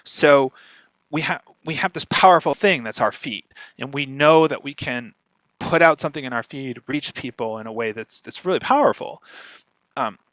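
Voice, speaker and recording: A man speaking conversationally as a guest expert, animated and often checking in with "right?". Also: medium pitch, normal speed, no room sound, rough recording. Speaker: guest speaker, podcaster and marketer. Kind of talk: conversational talk on podcast marketing.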